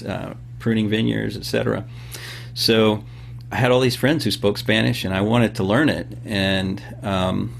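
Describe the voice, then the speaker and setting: Low gravelly man explaining at length. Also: a little hiss or hum. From California. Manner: thoughtful, explains at length with many fillers. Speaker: podcast guest and host of his own show. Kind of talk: casual interview talk.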